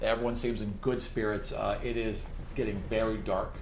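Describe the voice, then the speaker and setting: A man's voice: fairly low pitch, level tone, moderate pace. A man narrating a tabletop roleplaying game as its game master, improvising the descriptions as he goes. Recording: roomy sound with faint background noise.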